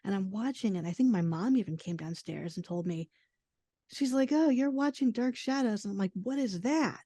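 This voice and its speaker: A woman in her forties, a podcast guest telling personal stories in a casual, animated way. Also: mid-range voice, normal speed, dry recording. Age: 40s. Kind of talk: casual chat, personal storytelling.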